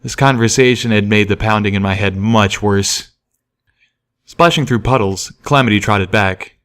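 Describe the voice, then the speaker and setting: A man's voice: on the low side, moderate pace, a bit bright, smooth. A man reading a scripted first-person story aloud as an audiobook narrator. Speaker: audiobook reader.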